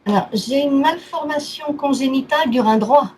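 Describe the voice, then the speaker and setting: A woman's voice: high-pitched, normal speed, darkish tone. A woman speaking as a client in a casual consultation, listing things item by item in short phrases. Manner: itemizing, in short clipped phrases. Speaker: therapy client. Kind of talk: casual recounting of health complaints. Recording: faint background noise.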